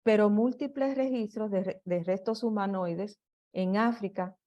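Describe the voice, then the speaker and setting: Slow, halting woman giving a lecture. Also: mid-range voice, warm tone, highs cut off. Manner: slow and halting, with restarts and fillers. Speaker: presenter. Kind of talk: slide presentation lecture.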